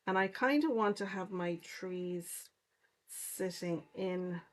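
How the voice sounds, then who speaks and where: A middle-aged woman from County Clare with a soft Irish accent, chatting casually while she works through a card-making livestream. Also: on the low side, takes it slowly. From Ireland, County Clare. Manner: chatty, explains each step while working. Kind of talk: casual crafting livestream chat.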